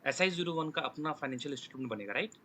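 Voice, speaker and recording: A man's voice: on the high side, expressive, racing, pushed and bright. A man with an Indian accent teaching a live online class, rephrasing his points, checking understanding with short questions and mixing in English technical terms. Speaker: online course instructor. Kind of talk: live lesson explaining with examples. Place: online class with screen sharing.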